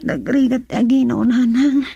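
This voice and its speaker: A woman's voice: sits high, fairly even intonation, a little slow, gravelly, dark tone, husky, no echo, muffled recording, full character voice. A woman playing a sorrowful young pregnant daughter in an Ilocano radio drama. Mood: sad, anguished.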